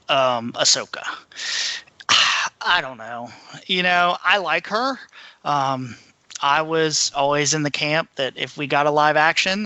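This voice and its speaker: Male podcast panelist, casual news chat. Very bright, sharp tone, slightly high pitch, dry close sound. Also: dry recording. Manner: informal, conversational. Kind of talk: casual news rundown and discussion.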